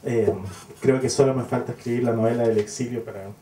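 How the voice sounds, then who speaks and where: A man with a Chilean Spanish accent, a writer answering interview questions with hesitant pauses. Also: medium pitch, steady tone, mellow, slight background noise. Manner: hesitant, many pauses and filler sounds. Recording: roomy, distant mic with faint ambience.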